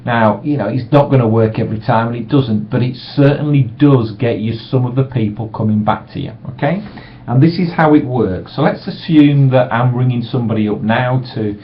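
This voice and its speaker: A man's voice: medium pitch, moderate pace, a little hiss or hum. A middle-aged man, giving a casual, reassuring sales training talk from a small room. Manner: relaxed, reassuring, acts out sample voicemails brightly. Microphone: webcam microphone. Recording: dull lo-fi webcam audio.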